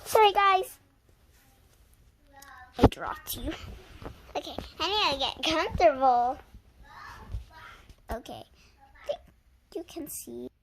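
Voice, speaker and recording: A young girl of about seven or eight reading a story aloud haltingly and chatting to viewers in casual asides. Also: high voice, animated, vocal fry, a bit bright, slight background noise, poor audio. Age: child around seven or eight. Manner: halting, sounding out and repeating words.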